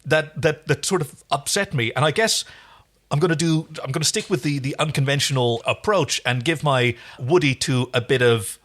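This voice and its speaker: A man's voice: fairly high pitch, normal speed, a bit bright. A man co-hosting a podcast, chatting casually and enthusiastically about an episode, often breaking off and restarting his thoughts. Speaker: podcast co-host. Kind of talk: casual episode review chat.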